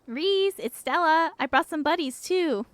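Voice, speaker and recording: A woman's voice: high voice, lively intonation, a little slow, voice pushed up. Woman acting an eager, slightly nervous young woman. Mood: polite, eager.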